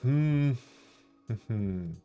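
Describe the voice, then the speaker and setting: A man's voice: mid-range voice, expressive, very slow, warm tone, clean tone, lo-fi sound, soft background music. A man with a German accent streaming his 3D work, chatting casually, thinking aloud and chuckling often. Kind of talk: casual livestream chat while working.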